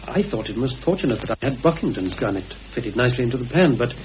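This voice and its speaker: An actor playing a cautious, anxious British conspirator in a radio play, speaking in a deliberate, hesitant, earnest way. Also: male voice, mid-range voice, animated, lightly acted. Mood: guarded, confiding. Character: anxious, cautious male conspirator confiding a grave suspicion. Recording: muffled, hissy old radio recording.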